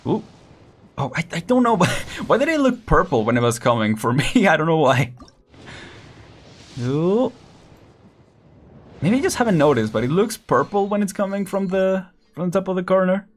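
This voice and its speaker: A man's voice: high voice, lively intonation, moderate pace, pauses often. A young man casually commenting while streaming a game, chatty and laughing often, counting down as he plays. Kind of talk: casual live gameplay commentary.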